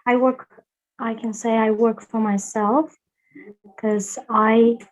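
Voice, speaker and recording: Woman on a video call, slightly high voice, slow and careful, restarts a lot. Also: level tone, poor audio. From Kazakhstan. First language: Kazakh. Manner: careful and halting, restarts sentences. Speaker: online English teacher, guest. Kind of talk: video call self-introduction.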